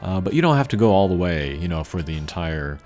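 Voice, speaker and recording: A man with a fairly low voice commentates on a video game in a casual, unscripted style. He is low-key and rambles a little, pausing often as he thinks. Game music plays behind him. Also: very animated, a little mellow.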